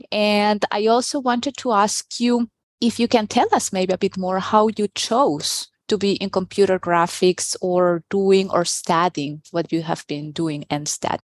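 A woman's voice: mid-range voice, no room sound. Woman interview host, from Peru, raised in Venezuela, eager questioning, dry close recording. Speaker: podcast host. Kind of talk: conversational interview.

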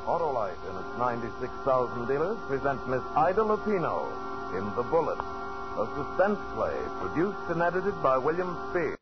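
Male 1940s radio announcer, dramatic, lively pitch, muffled vintage recording, loud music. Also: moderate pace. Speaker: program announcer. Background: loud orchestral theme music.

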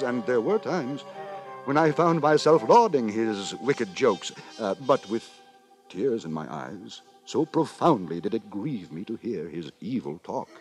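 An actor playing an earnest, long-suffering, moralizing gentleman narrator in a theatrical, formal 1950s radio drama. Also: mid-range voice, natural voice, poor audio. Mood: indignant, resigned, then mournful, pitying. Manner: theatrical, formal, fretful storytelling. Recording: 1950s radio broadcast with music.

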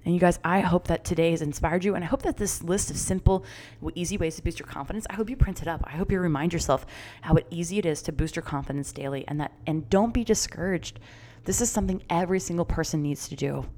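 A middle-aged woman coaching her listeners through her own podcast in a bright, encouraging, chatty way. Her voice sits a little low for a woman. She talks with easy fillers and rising enthusiasm. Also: fast. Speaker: podcast host and confidence coach. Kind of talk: upbeat solo podcast talk.

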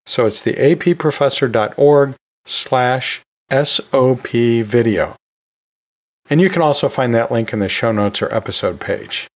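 A man's voice: medium pitch, measured pace. A man hosting a solo teaching podcast, giving conversational advice in an encouraging, lightly humorous and emphatic way. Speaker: podcast host and college teacher.

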